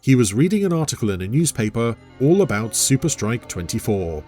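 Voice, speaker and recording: A man's voice: medium pitch, big pitch swings, fairly quick, dark tone, soft background music. A man narrating a scripted adventure story in a dramatic storytelling style.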